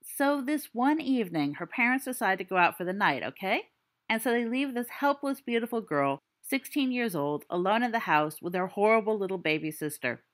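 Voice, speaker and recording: A woman's voice: mid-range voice, fairly bright tone, close to an everyday voice. A woman voicing a cocky teenage boy who tells a spooky story in a theatrical, teasing way.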